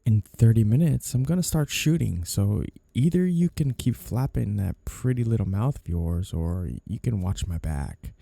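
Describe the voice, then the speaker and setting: Man playing a dark, gravelly, flirty mafia boss. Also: lowish voice, animated, normal speed, hardly any pauses, no echo, exaggerated voice. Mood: threatening, smug. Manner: flirty, teasing, coolly threatening. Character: flirty, menacing male mafia boss, smooth and sly. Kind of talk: ASMR roleplay, intimate scripted monologue.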